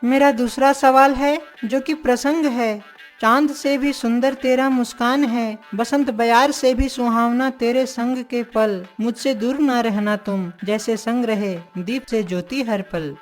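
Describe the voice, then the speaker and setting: High-pitched haughty young princess played by a woman. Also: fairly even intonation, measured pace, faint music behind, natural voice. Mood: composed. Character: haughty, clever young princess, bossy and threatening. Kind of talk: Hindi cartoon story voice acting.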